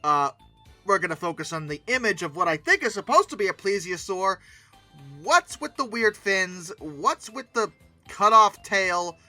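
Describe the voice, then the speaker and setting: An expressive, emphatic host giving casual reaction commentary, often breaking into exclamations. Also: medium pitch, normal speed, soft background music. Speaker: host of a paleoart commentary channel.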